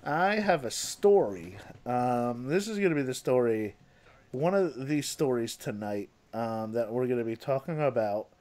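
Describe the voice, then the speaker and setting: A man's voice: medium pitch, lively intonation, a little slow, darkish tone. A man hosting a casual chat show, rambling with lots of fillers and restarts and laughing often. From New Jersey, USA. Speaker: podcast host.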